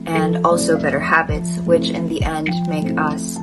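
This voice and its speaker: Young woman with a deep voice, guitar music. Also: completely flat delivery, a little slow, rough recording. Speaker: former student. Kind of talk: testimonial. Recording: loud guitar music underneath.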